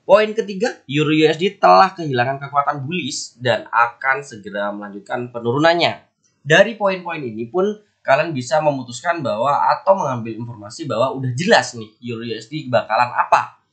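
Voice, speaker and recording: A man's voice: medium pitch, expressive, fairly quick, a bit bright. A man with a stuffy, nasal voice from a cold, chatting casually as a forex analysis host and talking straight to his viewers. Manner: chatty, repeats words, friendly asides to viewers. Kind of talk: casual market commentary to camera.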